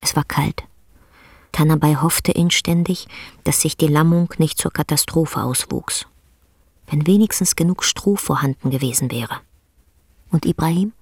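A woman performing as the grave, intense narrator of a bleak literary radio drama, telling it in a measured way. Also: low-pitched, breathy edge, dry recording, clean studio sound, full character voice. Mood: grave, tense. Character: female storyteller of a bleak rural tale, grave and intense. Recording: music under the voice.

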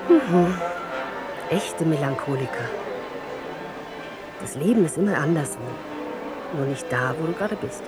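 A woman's voice: low voice, expressive, measured pace, pauses often, over loud music. An actress playing a sceptical, teasing woman confidante in conversational radio play dialogue. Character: sceptical, amused woman confidante reacting to talk of seducers.

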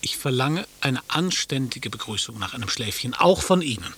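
A man's voice: medium pitch, big pitch swings, normal speed, fairly bright tone, breathy edge, full character voice. An actor playing a petulant, pompous man in a literary radio play, imperious and whining in his demands. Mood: demanding.

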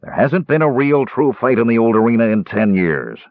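A man voicing a cantankerous old dwarf arena keeper in a fantasy audiobook, with a rough voice and a scornful, folksy manner. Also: medium pitch, big pitch swings, moderate pace, dry recording, phone-quality sound. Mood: bitter scorn. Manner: scornful, snappish, folksy grammar.

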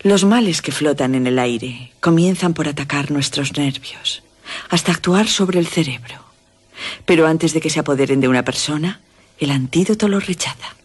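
An actress playing an enigmatic, languid young woman in a Gothic radio drama, husky and soft, with a Spain accent. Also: low voice, moderate pace. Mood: coolly dismissive.

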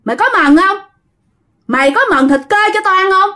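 A woman's voice: extremely high pitch, animated, moderate pace, pushed and bright, pitched above the performer's own voice, full character voice. A woman voicing a cruel, demanding spirit that possesses a man, threatening crudely and repeating itself in a Southern Vietnamese accent. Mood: demanding, angry.

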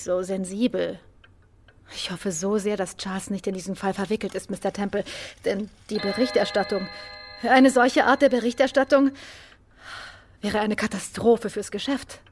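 A woman's voice: mid-range voice, fairly even intonation, moderate pace, darkish tone, soft background music, close to an everyday voice. An actress playing a polite, anxious, easily flustered lady in a German radio play, with a hesitant, effusively courteous manner. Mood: polite, concerned.

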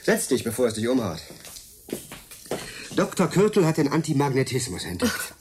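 An actor playing an excitable, idealistic male hacker with a cynical streak in a naturalistic German radio drama, in a husky, conversational voice. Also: medium pitch, normal speed, a little hiss or hum, natural voice. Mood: intrigued, sceptical.

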